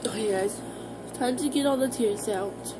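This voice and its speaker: An American woman talking casually to her viewers in an informal, halting, rambling vlog style. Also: a little high, over loud music, low-quality recording. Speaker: vlogger.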